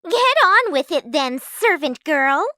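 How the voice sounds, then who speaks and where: A spoiled, vain young stepsister out of a storybook, played by a woman reader. She is spiteful and snappish, with a high, sneering voice that leaps about in pitch as she mocks. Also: very animated, a little slow, a bit bright, dry recording, studio quality, heavily acted. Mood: teasing, sneering. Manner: mocking, snappish.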